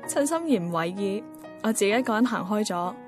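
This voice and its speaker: A woman's voice: medium pitch, lively intonation, fairly quick, close to an everyday voice. A woman playing a wistful, introspective young working woman in a radio drama, with a Hong Kong Cantonese accent. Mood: wistful, musing. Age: young adult. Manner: introspective, musing. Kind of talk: radio drama first-person monologue. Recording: clear music bed behind voice.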